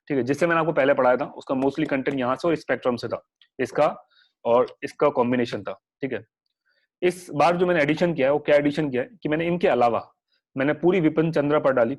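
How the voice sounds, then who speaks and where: A young man teaching a live online class with a sore throat, chatty and reassuring, mixing English words into Hindi. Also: medium pitch. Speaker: online exam-prep teacher.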